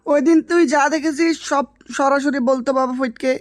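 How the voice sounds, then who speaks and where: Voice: high voice, steady tone, moderate pace, dry recording, studio quality, lightly acted. A performer voicing a stern, bossy male village headman, authoritative and threatening, in a comic Bengali drama style.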